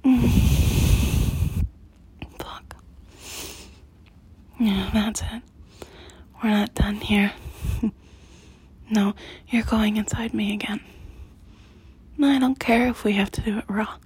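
A woman performing an intimate audio roleplay in a soft, close whisper. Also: mid-range voice, steady tone, takes it slowly, pauses often, a little hiss or hum.

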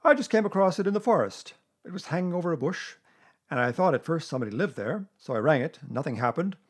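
A man's voice: on the high side, lively intonation, fast, husky, voice pushed up. A man voicing a pompous, self-important owl in a children's storybook, declaiming with emphasis. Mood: proud, chatty.

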